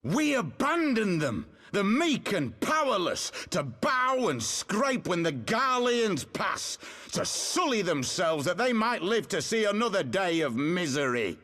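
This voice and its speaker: A man's voice: high-pitched, sing-song, measured pace, hardly any pauses, a bit bright, heavily acted. An actor playing an impassioned rebel leader rallying his exiled countrymen in a game cutscene, with emphatic, declamatory oratory. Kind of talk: theatrical video game oratory.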